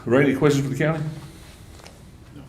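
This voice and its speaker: Polite board chairman, brisk, echoey room. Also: medium pitch, steady tone, a little mellow. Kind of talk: chairing a public meeting.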